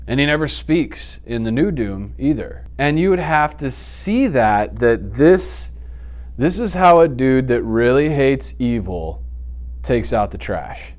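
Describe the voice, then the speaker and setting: A man, chatting casually off the cuff in a slangy, animated manner as an interview guest. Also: mid-range voice, slow pace. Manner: animated, slangy, blurts sound effects. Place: small indoor office, seated.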